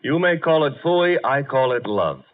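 An actor playing a cocky, flirtatious detective's assistant in a 1950s radio drama, with breezy, flippant, slangy delivery. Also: male voice, medium pitch, sing-song, a little slow. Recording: thin, lo-fi vintage radio recording.

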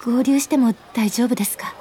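A woman's voice: fairly high pitch, fairly quick, lightly acted. An actress playing a polite, easily flustered young female office worker in a Japanese radio drama, speaking expressively. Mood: polite, hesitant. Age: young adult. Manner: polite junior speech, expressive. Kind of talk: Japanese radio drama acting with narrated asides.

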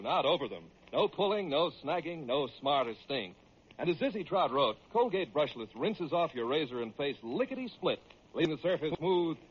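Husky American radio announcer on an old broadcast. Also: male voice, fairly high pitch, highs cut off. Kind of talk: old-time radio announcing and sponsor pitch.

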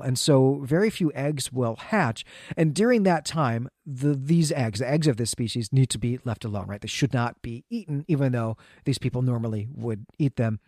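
A man co-hosts a literary discussion podcast. He explains details in a conversational way, pausing to check that the point lands. His mid-pitched voice is recorded very dry and close, with hardly any room around it. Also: expressive, a little mellow, no echo. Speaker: podcast host.

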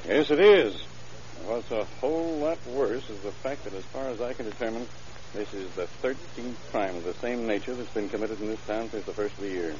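An actor playing a matter-of-fact male police detective in an old radio drama, laying out facts in a dry, deliberate way. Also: on the low side, a crowd in the background, lightly acted. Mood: businesslike. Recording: muffled, hissy old radio recording.

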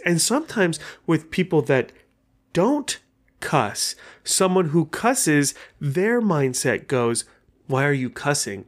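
Profane, rambling male podcast host. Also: fairly high pitch. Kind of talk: casual solo podcast chat.

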